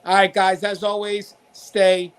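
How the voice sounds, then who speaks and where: A man's voice: high-pitched, monotone, a little slow. A man hosting an online talk show, addressing viewers directly in a conversational, emphatic and encouraging way. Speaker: show host, corrections professional.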